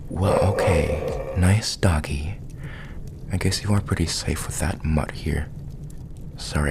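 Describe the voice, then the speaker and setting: A man playing a polite, nosy bookshop delivery man with an ominous edge, in a casual, slightly halting ASMR roleplay style. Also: sits low. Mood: uneasy, apologetic. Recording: clearly noisy background.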